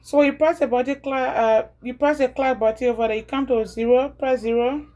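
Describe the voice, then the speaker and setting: Liberian woman, home video creator, somewhat high voice, casual and repetitive step-by-step delivery. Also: steady tone, mellow. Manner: chatty, repeats steps slowly.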